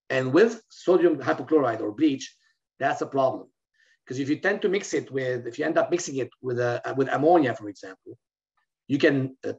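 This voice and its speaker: Gravelly Lebanese-born man lecturing. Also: mid-range voice. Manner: explanatory, with frequent fillers and restarts. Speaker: physician lecturer. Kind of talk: recorded medical webinar lecture. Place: indoor room, in front of a plain wall. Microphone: webcam on a video call. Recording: slightly dull, lo-fi video call audio.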